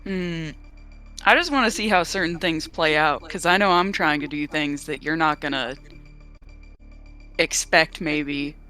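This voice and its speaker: A man's voice: on the high side, a little slow, pauses often, pushed and bright, soft background music, poor audio. A man who plays in a tabletop game, chatting after the session in an upbeat way, laughing often and saying "like" a lot. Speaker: player. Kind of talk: casual post-game chat.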